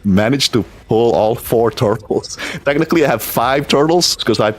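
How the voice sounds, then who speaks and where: A man's voice: medium pitch, normal speed. A middle-aged man from the Philippines with an American accent, chatting casually on a game live stream and laughing often. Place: home computer setup. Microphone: computer mic, camera off.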